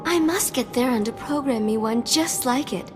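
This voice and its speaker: A woman's voice: high-pitched, moderate pace, natural voice. A woman playing a flirty, sensitive hologram receptionist in a video game, chatty and gushing with a sassy edge. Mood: perky, gushing. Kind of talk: cinematic video game dialogue. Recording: hall reverb, faint music behind.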